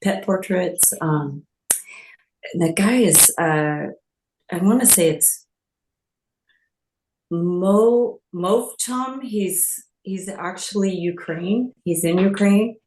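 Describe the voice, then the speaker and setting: Female painter from California, slow and halting delivery, slightly low voice, echoey room. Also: a little mellow, a little hiss or hum. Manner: halting, searching for words. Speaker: painter at a meetup. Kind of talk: casual self-introduction.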